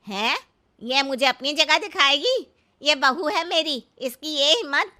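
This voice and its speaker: Older rustic mother-in-law for comic cartoon: very sharp, slow-drawling, scolding, cluelessly confident. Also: high-pitched, animated, heavily acted. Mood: indignant, scolding. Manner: scolding, literal-minded, folksy, drawn-out delivery. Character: brash, naive village mother-in-law, older woman. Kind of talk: comic cartoon story acting.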